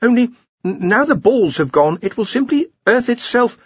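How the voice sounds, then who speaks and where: A jittery young wizard scholar, put on by a male narrator speaks high and haltingly. He is deferential and anxious, with lively swoops and a husky grain. The close, dry audio is a touch muffled. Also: no room sound, full character voice. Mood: hesitant, deferential. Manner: hesitant, anxious, mumbling, polite.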